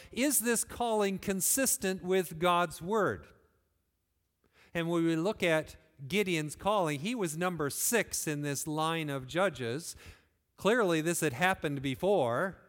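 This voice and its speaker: A man from West Michigan preaching a sermon in a church, earnest, pushing phrases up and then letting them fall quiet. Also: fairly high pitch. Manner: earnest, rising and falling emphasis, quiet closing phrases. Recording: long hall reverb.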